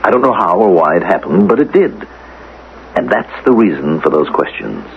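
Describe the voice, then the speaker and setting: A man's voice: deep voice, big pitch swings, moderate pace, creaky, full character voice. A man acting in a radio drama as a self-possessed language teacher of the future who speaks precisely and asks probing questions. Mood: wry, confiding. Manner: precise, questioning, coolly assured. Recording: narrow, hissy 1980 radio broadcast.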